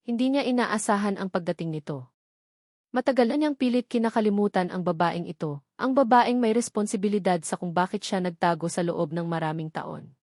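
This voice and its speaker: A woman delivers scripted narration of a dramatic story. Her voice sits at an average pitch for a woman, and the recording is very dry, with almost no room sound. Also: fairly quick, a little mellow, no room sound.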